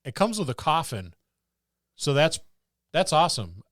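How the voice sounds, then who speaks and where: A man's voice: fairly high pitch, big pitch swings, a little slow, a bit bright, no room sound, studio quality. A man co-hosting a podcast in casual banter, with a jokey, grumbling wryness. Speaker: podcast co-host. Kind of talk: casual banter among co-hosts.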